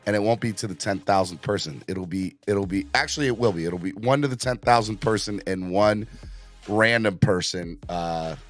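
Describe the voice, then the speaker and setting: A man hosting a casual live sports fan podcast, urging viewers to subscribe, with his voice just back after being hoarse. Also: soft background music. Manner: animated, jumps into high put-on voices for quick bits. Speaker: podcast host.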